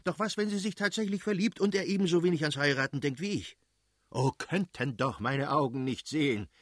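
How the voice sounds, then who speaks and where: Man acting blustering angry old aristocrat, pitched high. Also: a little fast, no room sound, clean studio sound, natural voice. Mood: mocking. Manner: blustering, exclamatory, mocking. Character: irascible aristocratic old father, ranting and scolding his wife. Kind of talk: dramatised audiobook reading.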